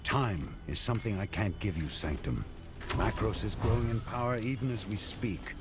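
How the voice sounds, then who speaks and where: Man playing a grim low-voiced warrior in reverb. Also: normal speed, natural voice. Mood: grim resolve. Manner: terse, stern, resolute. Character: grim, determined warrior, brother of a tyrant. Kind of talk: fantasy video game acting. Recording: long reverb, loud music. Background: game music.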